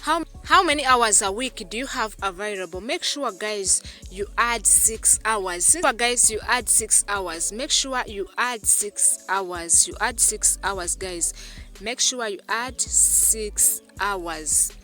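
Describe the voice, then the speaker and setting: A woman's voice: medium pitch, slow pace, pushed and bright, soft background music. A woman from Uganda, hosting a tutorial voice-over, repeating herself often and calling her viewers "guys". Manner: repetitive, keeps addressing viewers as "guys". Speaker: YouTube channel host. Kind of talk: screen-recorded tutorial voice-over.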